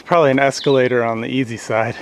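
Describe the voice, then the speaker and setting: Brief: young male co-host joking on a trail, mid pitch, noisy handheld sound. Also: fairly even intonation, fast. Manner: joking, upbeat. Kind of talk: casual hiking vlog chat. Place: outdoors on a steep mountain trail. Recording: noisy outdoor camera audio.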